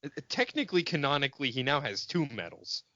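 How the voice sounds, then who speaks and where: A man with a slightly high, very animated voice banters on a fan podcast. He is nitpicky and casual about swearing. The sound is dry and a touch dull. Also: fast talker, dry recording. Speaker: podcast co-host.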